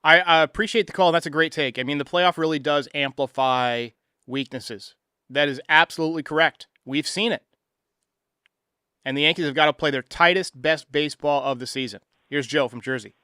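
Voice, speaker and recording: A man's voice: on the high side, normal speed, bright, forceful tone, clean tone, dry recording, clean studio sound. An American man hosting a sports fan show, giving commentary and responding to voicemails.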